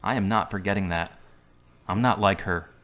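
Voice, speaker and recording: A man's voice: sits low, moderate pace, fairly bright tone. A wry carpenter out of hospital, played by a man. He speaks in a plain everyday voice with a dry, sardonic edge. The scene comes from an amateur audio drama. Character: wry male carpenter recovering from surgery.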